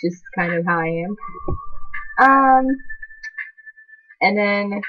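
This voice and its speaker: A woman's voice: medium pitch, expressive, slow pace, muffled recording, soft background music. A teenage girl chatting to camera about herself in a rambling way, filling her talk with "like". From half Chilean, half American. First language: Spanish. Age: teens. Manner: chatty, rambling, constant "like" filler. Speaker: channel co-host introducing herself. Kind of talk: casual talking-to-camera vlog.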